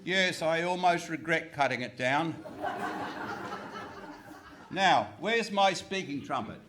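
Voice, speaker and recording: A man as a bumptious police inspector in a radio comedy. He declaims slowly in a high, swooping voice, full of self-importance. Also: expressive, background noise. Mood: grand, jaunty. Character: bumptious, grandly theatrical male Scotland Yard inspector. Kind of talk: absurd radio comedy acting. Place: hall stage, live performance.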